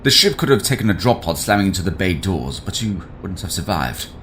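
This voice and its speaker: Man as a deep-voiced, authoritative starship captain. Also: sing-song, fairly quick, a bit bright, a little hiss or hum. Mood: measured approval. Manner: clipped, authoritative. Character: stern but fair male military starship captain. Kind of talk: sci-fi military audio drama.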